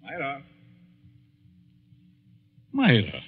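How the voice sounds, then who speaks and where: An actor playing a genteel, scheming, middle-aged professor who feigns innocence in a 1940s radio mystery, theatrical and measured. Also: male voice, medium pitch, wildly swooping pitch, slightly rough, full character voice. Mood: probing. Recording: muffled, narrow-band 1940s radio recording.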